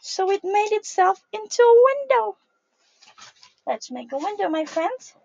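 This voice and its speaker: A young woman reading a picture book aloud to young children in a sing-song, animated way, calling them her friends. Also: extremely high pitch, a little slow, gravelly, no room sound, highs cut off. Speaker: early childhood storyteller.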